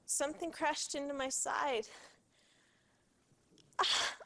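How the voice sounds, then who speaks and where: High-pitched, pained disaster victim. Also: female voice, steady tone, slow, gravelly, bright, forceful tone, full character voice. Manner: pained, pleading. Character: distressed injured female disaster victim. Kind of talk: video game live-action acting.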